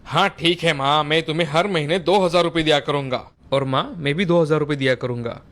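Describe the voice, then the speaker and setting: A man's voice: on the high side, brisk, a bit bright, natural voice. A man voicing a dutiful, agreeable grown son from a village in a cartoon story. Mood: obliging. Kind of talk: Hindi cartoon story voice acting.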